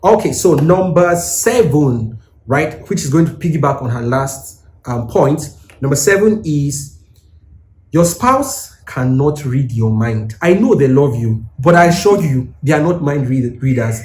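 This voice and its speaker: A man's voice: fairly high pitch, lively intonation, measured pace, a little mellow, echoey room. A man from Nigeria, co-hosting and giving earnest, instructive advice to couples. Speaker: co-host. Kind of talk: advice talk.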